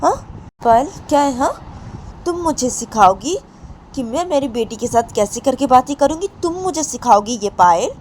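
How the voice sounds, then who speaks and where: A woman's voice: high voice, lively intonation, normal speed, a little mellow, voice pushed up. A woman voicing an angry father who scolds his wife and then defends himself to his daughter. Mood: scolding. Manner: scolding, repeated questions. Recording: noisy recording.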